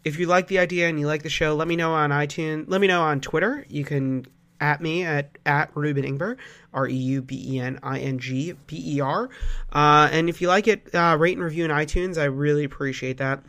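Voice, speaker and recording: A man's voice: fairly high pitch, brisk. Nasal young man with a cold. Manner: relaxed, rambling, many fillers and restarts. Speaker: podcast host. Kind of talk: casual solo podcast talk.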